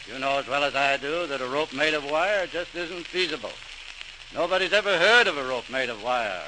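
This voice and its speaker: A man's voice: on the high side, lively intonation, moderate pace, sharp tone. An actor playing a skeptical, brusque male official in old-time radio drama, speaking bluntly and dismissively on a noisy old recording. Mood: dismissive, exasperated. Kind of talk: 1940s radio drama acting.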